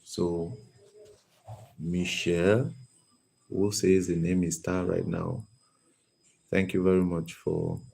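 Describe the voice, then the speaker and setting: Deep gravelly-voiced male stream host. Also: level tone, takes it slowly, long pauses, darkish tone. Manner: calls on viewers by name and reads their comments. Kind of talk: interactive live lesson.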